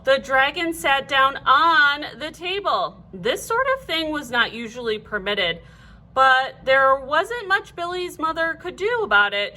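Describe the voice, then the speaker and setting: A woman reading a children's storybook aloud like a teacher, in an animated, clearly phrased way. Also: high-pitched, a little hiss or hum.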